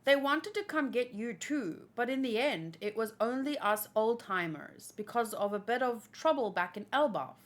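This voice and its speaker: A woman with a fairly high voice hosts a manga read-along. She moves between reading lines aloud and chatting casually about them as an animated fan. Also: moderate pace, few pauses. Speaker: host reacting to a manga chapter read-along.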